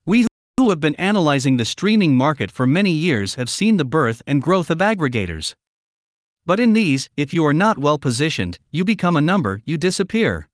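A man's voice: a little high, very animated, moderate pace, no room sound, clean studio sound. A man answering as an interview guest, explaining in formal, prepared-sounding sentences. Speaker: interview guest, media analytics company representative.

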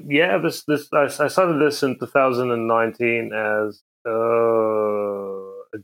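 Halting middle-aged man from Egypt, medium pitch. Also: steady tone, fairly bright tone. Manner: hesitant, halting delivery. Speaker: podcast guest, comic creator. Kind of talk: casual interview chat.

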